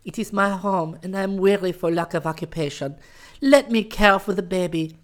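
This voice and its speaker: A man's voice: high voice, moderate pace, pitched above the performer's own voice, close to an everyday voice. A man voicing a young female forest nymph from a storybook, earnest, tender and boldly pleading.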